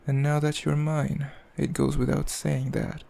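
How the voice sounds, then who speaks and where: A man playing a cruel, possessive demon king in an ASMR roleplay, speaking in a haughty, mocking, measured way with a gravelly voice. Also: a little high, completely flat delivery, warm tone. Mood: possessive amusement. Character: cruel, possessive male demon king, coldly amused, condescending. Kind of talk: ASMR roleplay, slow intimate villain monologue.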